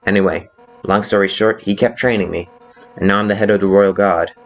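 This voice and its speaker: Guy doing a hot-headed monster lady guard captain, blunt game dialogue, lowish voice, kinda muffled w music under it. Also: fairly even intonation, fairly quick, lightly acted. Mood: nostalgic, candid. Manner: informal, blunt, emphatic. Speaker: character voice actor in a fan dub. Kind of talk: dramatic video game dialogue. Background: background music.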